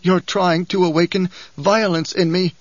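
A man's voice: sits high, normal speed, no echo, lo-fi sound, pitched above the performer's own voice, close to an everyday voice. A man doing a tense, guarded swordsman. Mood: strained. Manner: clipped, defiant, strained. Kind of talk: audiobook character reading.

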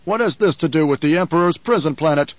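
A man's voice: a little high, fast talker, no echo, voice pushed up, lightly acted. A man doing a blustering, barking fat baron. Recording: dull, dry recording.

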